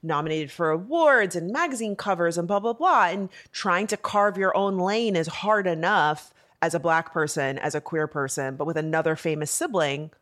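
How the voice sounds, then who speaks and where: A woman co-hosting a podcast, chatting casually with emphatic, expressive delivery. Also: on the low side. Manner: expressive, emphatic, quick to agree.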